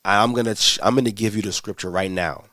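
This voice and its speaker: A man's voice: on the low side, fairly even intonation, fast talker, bright, forceful tone, dry recording, studio quality. A man teaching scripture in a casual livestream, answering viewers' questions in a conversational, slangy way with many restarts.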